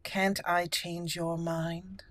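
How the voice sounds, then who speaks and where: A woman voicing a polite, earnest young noble elf mage in a game roleplay, speaking in a measured, formal way. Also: fairly low pitch, little pitch movement, fairly bright tone, no room sound. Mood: earnest, persuasive. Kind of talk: roleplay reading of game dialogue.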